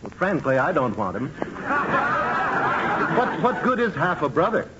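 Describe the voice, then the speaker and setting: Pompous high-voiced gentleman, old radio. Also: big pitch swings. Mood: droll, pompous. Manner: pompous, deliberate comic delivery. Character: pompous, droll male neighbour with a flowery turn of phrase. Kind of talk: 1940s radio comedy acting. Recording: muffled, noisy 1940s radio recording.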